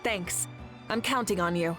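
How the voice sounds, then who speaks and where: A woman's voice: lowish voice, unhurried, close to an everyday voice. A woman playing an earnest, composed female character in a video game. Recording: brassy music behind the voice.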